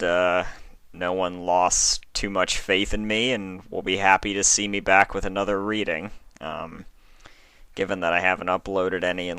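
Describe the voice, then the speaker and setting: Deep, crisp-voiced man introducing a reading. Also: bright, forceful tone, no echo. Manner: hesitant, apologetic, informal. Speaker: fan fiction reader hosting own channel. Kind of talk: casual spoken introduction to a reading.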